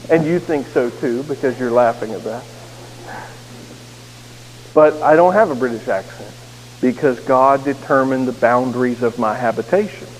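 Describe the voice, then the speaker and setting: A man with a neutral American accent preaching a sermon as pastor, earnest with touches of self-deprecating humor. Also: medium pitch. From United States. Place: church sanctuary. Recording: echoey sanctuary with faint hiss.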